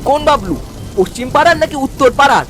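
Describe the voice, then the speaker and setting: A man voicing a bumbling, helpful, slow-witted villager in a folk-tale cartoon, stammering and comically literal. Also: extremely high pitch, expressive, over music. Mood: bumbling, eager.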